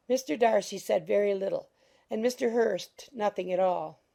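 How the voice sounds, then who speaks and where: Mellow, creaky woman narrator. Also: mid-range voice. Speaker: audiobook narrator. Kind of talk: scripted literary narration.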